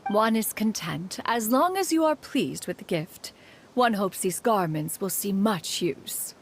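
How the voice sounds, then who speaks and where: A woman's voice: medium pitch, expressive, slight background noise. A woman voicing a proud, formal female crane spirit in a game, speaking in a stately, archaic way and calling herself "one". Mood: haughty. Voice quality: stately, measured. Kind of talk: game voice acting. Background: game music.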